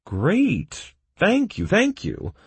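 A man's voice: lowish voice, sing-song, a little slow, breathy edge, no echo, voice pushed up, full character voice. A man voicing an emotional, scheming adventurer as the hero of a game fantasy story. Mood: hopeful.

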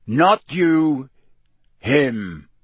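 A man voicing a gruff, menacing old wizard teacher in an audiobook, with a gravelly growl and clipped, barking delivery. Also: a little high, sing-song, very slow, no room sound, muffled recording, full character voice. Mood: growling anger.

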